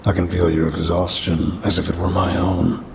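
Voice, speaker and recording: A man's voice: low voice, completely flat delivery, moderate pace, creaky, background noise. A man voicing a centuries-old demon in an ASMR audio roleplay, formal and pompous, grumbling dryly yet caring. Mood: smug, calm. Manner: formal, pompous, dry complaining.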